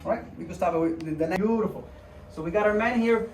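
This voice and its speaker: A man's voice: sits high, fast. A man working as a doctor, casually and reassuringly talking a patient and viewers through a procedure in an informal way. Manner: informal, reassuring, repeats himself while demonstrating. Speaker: doctor performing a hand procedure. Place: indoor clinic room. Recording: roomy, distant mic with background noise.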